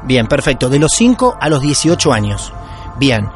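A man's voice: medium pitch, soft background music. A man with an Argentine Spanish accent hosting a casual paranormal podcast and interviewing a guest. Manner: lively, drawn-out welcoming delivery. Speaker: podcast host.

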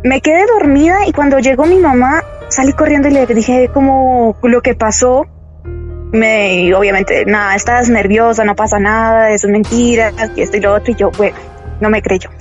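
A young woman telling a true spooky story in a hesitant, animated way, full of fillers. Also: on the high side, moderate pace, dull audio, faint music behind. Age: young adult. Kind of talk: casual storytelling.

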